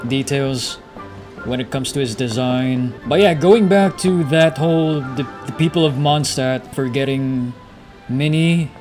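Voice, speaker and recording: A man's voice: medium pitch, darkish tone. Man musing casually over game music. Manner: musing aloud, conversational. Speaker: game streamer. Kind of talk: casual gameplay commentary.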